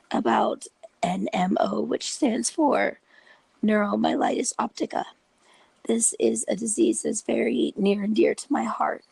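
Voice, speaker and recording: Raspy sick-sounding vlogger w a cold, super slow and careful, kinda whispery, dry close sound. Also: mid-range voice, a little mellow, no echo, rough recording. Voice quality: raspy, gravelly and breathy. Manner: slow, patient explaining with half-whispered stretches. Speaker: video host and patient support group leader. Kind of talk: informal explanatory vlog.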